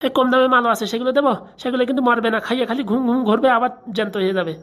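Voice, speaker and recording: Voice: medium pitch, steady tone, very fast, fairly bright tone, natural voice. A performer voicing a sly, grumbling village shopkeeper in a comedy cartoon, chatty and muttering, with a rural Bengali dialect. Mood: chatty, insistent. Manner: chatty, muttering asides, cautioning.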